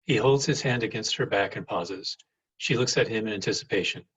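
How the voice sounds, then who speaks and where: A man with a husky voice reading stage directions as scripted narration for a play. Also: on the low side, a little fast, a bit bright, dull audio.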